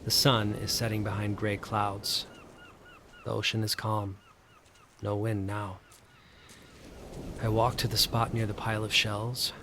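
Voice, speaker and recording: An actor playing a troubled, haunted man, telling his story in a hushed, deliberate way in an audio drama. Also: lowish voice, level tone, slight background noise. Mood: somber, resigned. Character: troubled, haunted man fleeing dread on island holiday. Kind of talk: audio drama acting with first-person narration.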